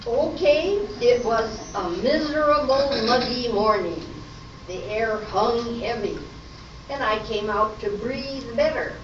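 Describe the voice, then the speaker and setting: A woman playing a fussy, chatty, dramatic lady in an amateur radio comedy, theatrical and deliberate. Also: fairly high pitch. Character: dramatic, chatty women: fussy diner, gossipy witness. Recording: noisy echoey room, distant muffled mic.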